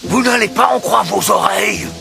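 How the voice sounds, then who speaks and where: A gruff old farm dog in a fairy-tale cartoon. The voice is extremely gravelly and creaky, with a hungry growl of a delivery, over music. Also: male voice, high voice, normal speed, shouting. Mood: excited. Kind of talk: French cartoon dubbing.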